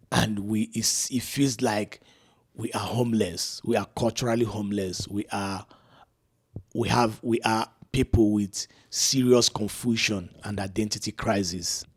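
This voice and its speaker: A middle-aged man from Africa hosting a casual discussion, talking reflectively and tagging lines with "right?" and "you know". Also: on the low side. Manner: reflective, frequent "right?" and "you know" asides, laughs while telling stories. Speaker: podcast host.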